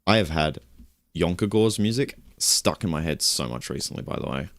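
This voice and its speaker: A young man streaming and chatting casually. He rambles in a relaxed way, with little chuckles and self-corrections. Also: moderate pace, slight background noise. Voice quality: low voice. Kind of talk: casual stream chat while gaming.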